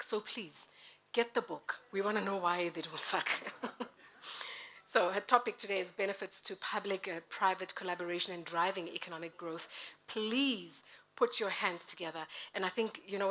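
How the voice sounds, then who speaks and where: A woman with a husky voice hosting a live event in a chatty, playful, informal way. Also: mid-range voice, pushed and bright. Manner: chatty, playful, with self-interruptions. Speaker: event host introducing a keynote speaker.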